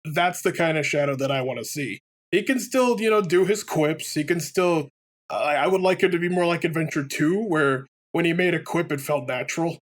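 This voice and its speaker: Higher-voiced man, casual and opinionated. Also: normal speed. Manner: animated, rambling, sweary. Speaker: let's player commentator. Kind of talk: casual opinionated game commentary chat.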